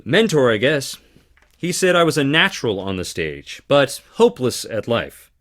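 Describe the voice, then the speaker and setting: An actor playing a charming, theatrical theater performer with dramatic flair in a video game. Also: male voice, mid-range voice, big pitch swings, moderate pace, fairly bright tone. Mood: wistful, sincere. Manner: theatrical, dramatic, swinging between playful and intense. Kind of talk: video game character dialogue.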